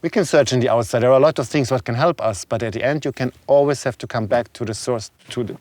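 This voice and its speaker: Guy with a european accent, non-native english, thoughtful halting interview chat, mid pitch, really dry sound. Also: a little mellow, dry recording. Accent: Continental European. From Serbia, moved to Germany as a child. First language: not English. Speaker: guest, shaman and spiritual healer. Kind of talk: conversational interview talk.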